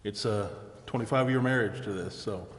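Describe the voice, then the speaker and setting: A man's voice: fairly low pitch, moderate pace. A man chairing a city committee meeting, talking informally and earnestly, repeating words as he goes. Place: city council chamber. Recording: echoey chamber, long reverb, faint hiss.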